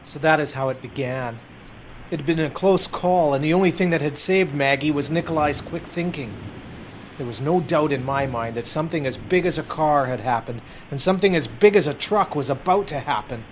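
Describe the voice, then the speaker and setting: A man's voice: on the high side, fairly quick, natural voice. A man playing a weary homeless man who narrates his story in a reflective, earnest way. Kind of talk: first-person drama narration. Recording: noisy background hiss.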